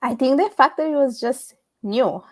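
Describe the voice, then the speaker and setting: A young adult woman from Italy, a guest on a remote call, answering with measured phrasing, frequent fillers and small restarts. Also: sits high, darkish tone, no echo, studio quality. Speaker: guest, author.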